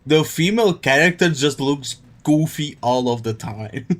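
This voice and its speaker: A man's voice: a little high, lively intonation, measured pace, fairly bright tone. A man doing casual livestream commentary on a game, with a crude, slangy, joking manner.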